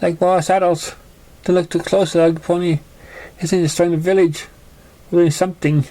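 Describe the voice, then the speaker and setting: Man voicing a high-pitched young orphan pony girl. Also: little pitch movement, warm tone, lightly acted, poor audio. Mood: recounting. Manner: confessional, halting storytelling. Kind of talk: amateur fan fiction reading.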